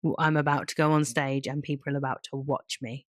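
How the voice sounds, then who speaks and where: A woman with a low voice chats casually as a podcast co-host. She is supportive and halting, reworking her sentences as she talks. The recording is dry and free of room sound. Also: flat delivery, no echo.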